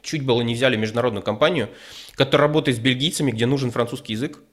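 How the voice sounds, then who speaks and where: A man from Ukraine, a guest telling his story in a relaxed chat, hesitant, with lots of fillers and careful wording. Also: medium pitch, rapid-fire. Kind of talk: casual conversational storytelling.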